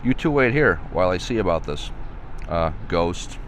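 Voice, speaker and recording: Man reading as storybook police sergeant dad, lowish voice, calm, firm, reassuring, background noise. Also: moderate pace.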